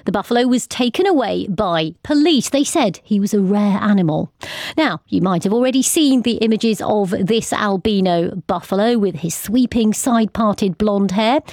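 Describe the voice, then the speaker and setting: A woman's voice: medium pitch, darkish tone, no room sound, clean studio sound. A woman presenting live radio news and interviews in a conversational, lightly amused manner.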